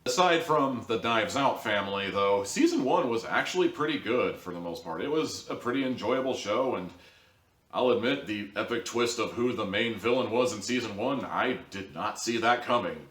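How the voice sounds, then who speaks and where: Male reviewer, lowish voice, casual and bluntly sarcastic delivery, recorded off mic in a roomy space. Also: lively intonation, moderate pace, a bit bright, distant mic. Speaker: online audio drama reviewer. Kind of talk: casual opinionated review commentary. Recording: echoey room, off mic.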